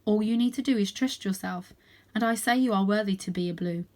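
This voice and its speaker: A woman voicing a wise old male ancestor cat from a storybook, a solemn mentor who speaks in measured, instructive phrases. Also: mid-range voice, darkish tone, natural voice. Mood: reassuring, wise.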